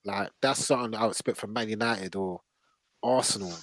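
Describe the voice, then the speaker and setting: A man's voice: on the low side, a little fast, fairly bright tone, dry recording. Blunt man, close dry sound. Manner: blunt, slangy. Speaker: podcast host. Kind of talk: casual football panel chat.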